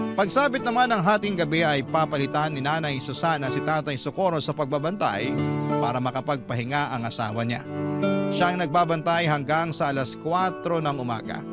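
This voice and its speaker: A man narrating a scripted first-person story as the host of a Filipino radio drama. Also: a little high. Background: loud plucked guitar music.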